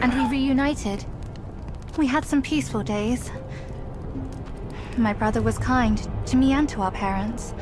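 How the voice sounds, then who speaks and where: An actress playing an earnest, imploring young fox princess in a dramatic video game scene. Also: female voice, a little high, noisy background, close to an everyday voice. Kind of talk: video game cutscene acting. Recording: music behind the voice.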